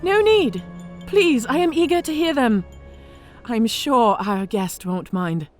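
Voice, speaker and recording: Actress as smug orc lady commander, high lilting voice, sweetly threatening, audio drama with faint music behind. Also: animated, a little mellow. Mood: sweetly menacing. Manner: mock-sweet, teasing, deliberate politeness. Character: smug female orc centurion, teasing and coolly menacing.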